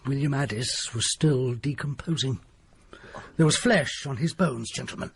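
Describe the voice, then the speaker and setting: A man's voice: medium pitch, moderate pace, creaky, a little hiss or hum, close to an everyday voice. A man playing a desperate secretive country doctor. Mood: grim, hushed. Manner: grave, hushed storytelling. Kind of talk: period radio drama acting.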